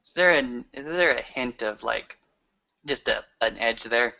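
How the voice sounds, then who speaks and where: A man's voice: mid-range voice, a little slow, creaky, bright, forceful tone. A man roleplaying as a player in a tabletop session, hesitant and thinking aloud as he goes. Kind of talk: improvised in-character roleplay. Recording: dull lo-fi recording.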